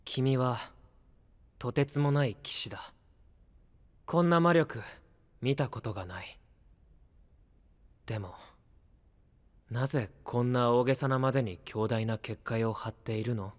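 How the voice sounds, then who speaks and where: Man voicing a calm earnest young knight, slow and probing, soft voice, anime drama, music under it. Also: medium pitch, close to an everyday voice. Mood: concerned. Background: background music.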